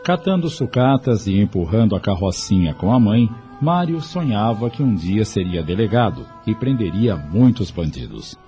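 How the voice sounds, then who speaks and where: A man's voice: lowish voice, sing-song, moderate pace, warm tone. A man narrating an audio novel in a scripted storytelling style. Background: music.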